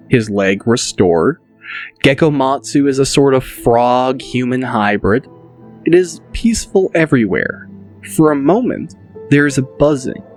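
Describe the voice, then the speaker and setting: A man narrating a dramatic audiobook in a deliberate, measured manner. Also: medium pitch.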